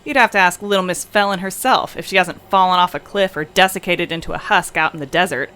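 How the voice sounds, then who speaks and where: A woman's voice: fairly low pitch, quick pace, pushed and bright. A woman playing a blunt, sardonic, impatient alien crew member in an audio comedy, with dry, cutting delivery. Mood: snappish. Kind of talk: comedic audio drama acting.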